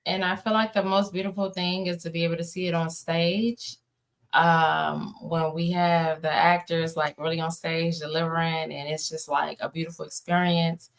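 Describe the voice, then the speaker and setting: A woman's voice: low voice, level tone, normal speed. An American woman talking casually as an interview guest, informal and emphatic, repeating words for emphasis. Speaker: interview guest, playwright and producer.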